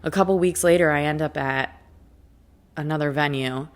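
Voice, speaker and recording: A woman's voice: deep voice, normal speed. A woman hosting a reflective motivational monologue, speaking candidly and conversationally, with emphasis and rhetorical questions. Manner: asks rhetorical questions and answers them. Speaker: podcast host and life coach.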